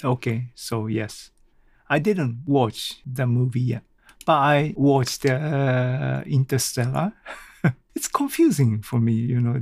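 A man's voice: mid-range voice, gravelly, a little mellow, no echo. A man hosting a casual solo podcast in an audio-blog style, speaking hesitantly and searching for his words. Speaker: podcast host.

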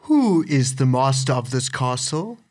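A woman's voice: rumbling low, very animated, a little slow, darkish tone, pitched below the performer's own voice, full character voice. A woman voicing a humble, timid old father in a storybook, speaking earnestly. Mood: curious. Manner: pleading, earnest.

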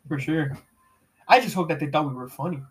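A young man with a fairly high, somewhat raised voice hosts a loose, unplanned podcast. He talks in easy slang, peppered with "bro" and cursing. He laughs often as he goes. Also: fairly quick. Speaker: podcast host. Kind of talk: casual chat with heavy swearing.

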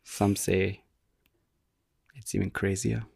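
A man's voice: deep voice, little pitch movement, slow pace, vocal fry, a little mellow, breathy edge, no echo, clean studio sound. Rambling, joking male podcast co-host. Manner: rambling, self-mocking, joking. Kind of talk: casual chat.

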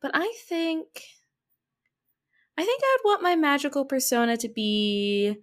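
A woman guesting on a podcast, chatting casually in a playful, expressive way. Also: high-pitched, measured pace. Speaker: podcast guest, tabletop roleplay performer. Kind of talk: casual chat.

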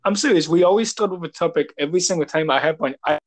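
Young male host, higher voice cracking. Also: fast. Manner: rambling, filler-heavy, laughing. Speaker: podcast host. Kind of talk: casual chat.

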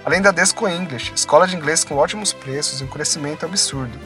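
A man hosting a podcast, reading sponsor plugs and shout-outs and then interviewing a guest in a friendly way. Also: on the high side, fast talker, over music. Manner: friendly, upbeat promo reading. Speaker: podcast host.

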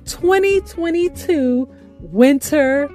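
Female radio host, high register, earnest scripted intro over faint music. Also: lively intonation, a little mellow. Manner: earnest, grateful, measured delivery. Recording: faint music bed behind voice.

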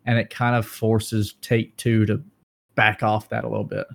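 A man co-hosting a casual gaming news podcast, talking excitedly about PC hardware and joking with his co-host. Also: lowish voice, moderate pace, vocal fry. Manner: excitable, breaks into dramatic movie-quote impressions.

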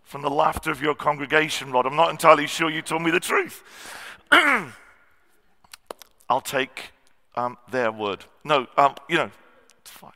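Husky male preacher, bright tone, long hall reverb; self-deprecating, halting delivery. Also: fairly high pitch. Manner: self-deprecating, frequent ums and throat clearing. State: slightly husky voice. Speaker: guest preacher. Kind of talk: sermon. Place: church hall.

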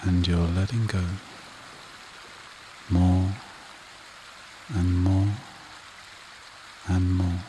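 A man leading a guided meditation and hypnosis in a soothing, repetitive manner with short phrases and long pauses. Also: deep voice, background noise. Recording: rain and running water behind voice. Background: steady rain and stream sounds.

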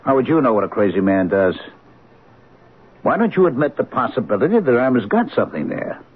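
An actor playing a blunt, authoritative police captain in an old-time radio drama, questioning and commanding. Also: male voice, mid-range voice, big pitch swings, brisk, breathy edge. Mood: stern, blunt. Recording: muffled, noisy old radio broadcast recording.

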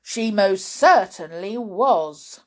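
A woman's voice: medium pitch, animated, extremely slow. A woman voicing a callous, cranky husband in a children's storybook, emphatic and smug. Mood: smug agreement.

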